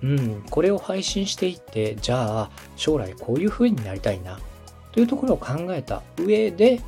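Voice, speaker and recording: Polite male coach with lively, varied intonation. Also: fairly high pitch, moderate pace, gravelly, faint music behind. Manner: polite, instructive, with step-by-step explanations. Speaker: podcast host and coach, a radio director. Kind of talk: structured explanatory coaching on podcast production.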